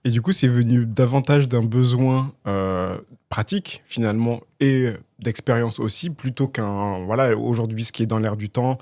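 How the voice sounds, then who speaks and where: A man hosting a podcast, reading a scripted intro and asking measured interview questions. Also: mid-range voice, steady tone, a little mellow, no room sound. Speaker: podcast host.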